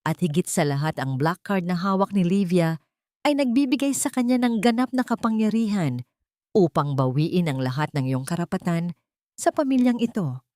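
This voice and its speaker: A woman's voice: fairly low pitch, lively intonation, moderate pace, warm tone, dry recording, clean studio sound, natural voice. A woman with a Filipino accent voicing a formal, accusing chief lawyer in a story. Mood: stern.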